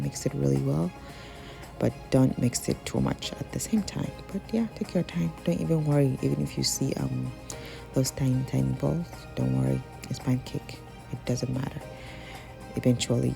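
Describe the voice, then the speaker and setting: A young woman with a slightly husky voice, chatting casually to viewers while cooking in her home kitchen. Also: extremely low pitch, fairly even intonation, normal speed, music in the background. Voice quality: husky, sounds slightly sick. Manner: chatty, giggly, talks to viewers as guys. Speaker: cooking channel host. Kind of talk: casual cooking vlog voice-over.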